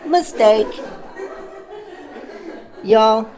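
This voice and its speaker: A woman voicing a cheeky, self-amused puppet comedian who tells stand-up stories with dramatic pauses and exaggerated emphasis. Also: on the high side. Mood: mock horrified. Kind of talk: family-friendly stand-up comedy storytelling.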